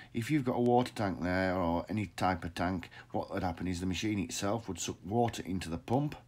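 A middle-aged man in a workshop, explaining machines plainly into a phone held close below his face, repeating words and restarting often. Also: sits low. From England. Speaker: pressure washer business owner. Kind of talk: informal how-to explainer. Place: indoor workshop with equipment shelves. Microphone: phone camera held close below the face.

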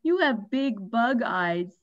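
Grumpy big bear from a picture book, read by a woman, gruff and slow, high-ish voice, dull lo-fi sound. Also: animated. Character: grumpy big male bear, mean then sorry. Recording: dull lo-fi recording.

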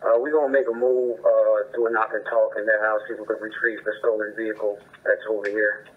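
A man's voice: on the low side, flat delivery, fast, slight background noise. A man, a police officer, talking casually over a two-way radio. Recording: tinny police scanner radio.

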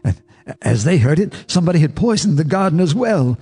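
A man narrating in the first person for a radio drama, measured and grave. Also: a little high, very animated, warm tone, breathy edge. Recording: radio drama with faint music underneath.

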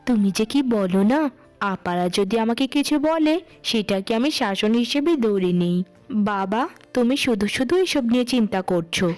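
A woman voicing a gentle, dutiful young youngest sister in a cartoon story, with a soothing, pleading manner. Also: mid-range voice, expressive, normal speed, faint music behind, pitched below the performer's own voice, natural voice. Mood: affectionate.

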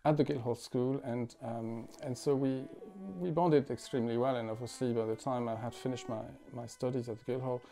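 A man in his forties from France, a musician talking thoughtfully at home, with many pauses, fillers and restarts. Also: mid-range voice, steady tone, warm tone. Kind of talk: reflective interview talk. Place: living room at home.

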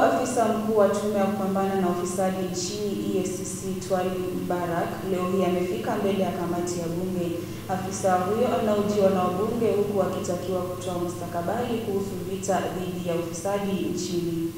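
A woman news anchor reading the news in a measured, formal way. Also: medium pitch, steady tone, noisy background. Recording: echoey, distant studio sound.

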